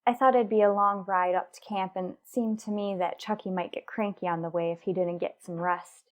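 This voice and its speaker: A woman playing a worried but composed mother and wife in an old-fashioned radio soap, with proper, deliberate phrasing. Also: mid-range voice, fairly even intonation, natural voice. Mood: guarded.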